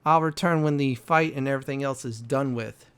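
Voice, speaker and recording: A man giving casual gameplay commentary in a halting, rambling, explanatory way. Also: mid-range voice, fairly bright tone, slight background noise. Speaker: host of a gaming walkthrough.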